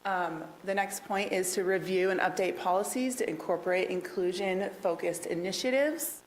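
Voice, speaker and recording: A woman's voice: on the low side, normal speed, slight background noise. A woman who is a task force member, speaking earnestly and easily during a council work session presentation. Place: council meeting room.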